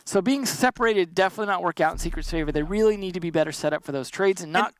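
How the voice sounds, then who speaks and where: A man's voice: high voice, racing, clean tone, dry recording. A man giving color commentary on an esports match, analytical with a dry sense of humor.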